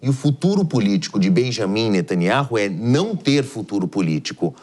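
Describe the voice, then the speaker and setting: A man's voice: fairly low pitch, sing-song, fairly quick, a little mellow. Brazilian man explaining the news, didactic and emphatic. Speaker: news analyst. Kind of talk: live explanatory news commentary.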